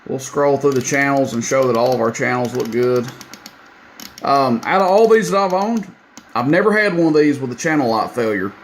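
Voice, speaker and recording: A man with a mid-pitched voice talks through a piece of equipment in a casual demonstration. His wording is plain, folksy and a little hesitant. The amateur recording carries clear background noise. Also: expressive, moderate pace. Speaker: radio seller demonstrating equipment.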